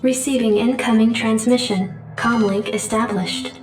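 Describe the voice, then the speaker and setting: A woman playing a cool female ship computer, announcing status reports in a flat voice. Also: on the high side, measured pace, close to an everyday voice. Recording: echoey, distant voice with music.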